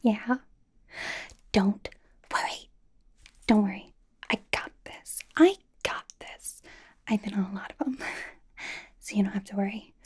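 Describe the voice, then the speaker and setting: A woman playing a bubbly, anxious, caring young K-pop idol in an ASMR roleplay, with an American accent, a soft breathy whisper and a chatty, rambling, reassuring way of talking. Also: mid-range voice, measured pace, pauses often. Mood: eagerly supportive. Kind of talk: ASMR personal attention roleplay.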